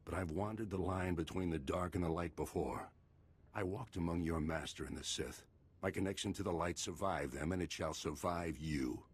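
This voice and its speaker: An actor playing a grave, proud older Jedi Master in a video game, solemn, measured and raspy. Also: male voice, sits low. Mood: grim resolve.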